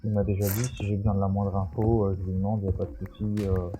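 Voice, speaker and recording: A man's voice: deep voice, little pitch movement, quick pace, dark tone, a little hiss or hum. A man with a cold, chatting hesitantly through a Minecraft video with lots of fillers. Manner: hesitant, many fillers and restarts. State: stuffy with a cold. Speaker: gaming YouTuber. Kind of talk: casual Minecraft commentary. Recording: music playing behind.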